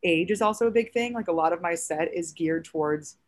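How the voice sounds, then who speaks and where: A woman around thirty with a raspy voice, a stand-up comedian chatting casually as a podcast guest with lots of fillers. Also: fairly low pitch, fairly quick. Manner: chatty, animated storytelling with many fillers.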